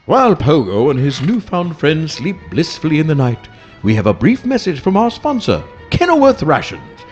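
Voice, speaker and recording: A man's voice: a little high, huge pitch swings, moderate pace, lo-fi sound, faint music behind. A man playing an emphatic, showy announcer and narrator in a mock old-time radio programme. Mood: showy, salesmanlike. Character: excitable radio announcer reading mock sponsor spot.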